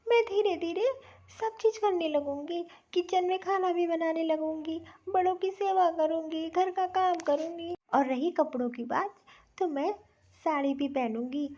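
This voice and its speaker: A woman's voice: very high-pitched, a little slow, a little mellow. A woman playing a spoiled, scheming young city daughter-in-law in a Hindi family cartoon, with a whiny, bossy manner. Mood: whiny pleading. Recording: dull, lo-fi recording.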